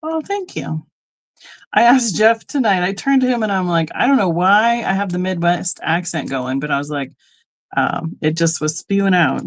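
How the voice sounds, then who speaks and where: A woman's voice: fairly low pitch, normal speed. A middle-aged woman from Colorado hosting a live online sale, chatting casually and rambling into asides with frequent ums. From Colorado, United States. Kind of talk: casual live-stream selling chat.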